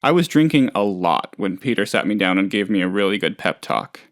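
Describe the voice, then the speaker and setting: A man's voice: on the low side, animated, a little fast, dry recording, studio quality. A man playing a hapless, trusting, lonely oddball in a video game, talking in a rambling, hesitant, good-natured way. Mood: confessional, subdued. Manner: rambling, hesitant, naive, chatty. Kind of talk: video game character dialogue.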